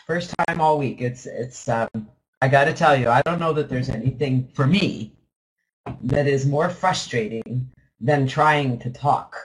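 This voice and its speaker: An upbeat man talks on a live webinar call, chatty and rambling, often restarting his sentences. His voice sits at an ordinary middle pitch for a man, with a slight lingering cough. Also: unhurried, a little hiss or hum, poor audio. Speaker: webinar host.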